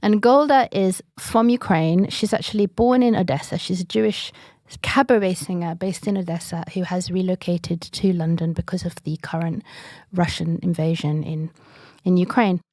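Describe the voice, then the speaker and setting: A woman's voice: fairly low pitch, moderate pace, a little mellow, no room sound, studio quality. A woman, an artistic director, explaining her project in a thoughtful, reflective way. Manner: thoughtful, with frequent restarts. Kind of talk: reflective interview.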